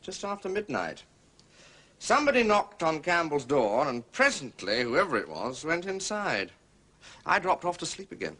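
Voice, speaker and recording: An actor playing a blunt, slightly uneasy gentleman witness, clipped and defensive, in a period detective drama. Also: a little high, very animated, moderate pace, full character voice.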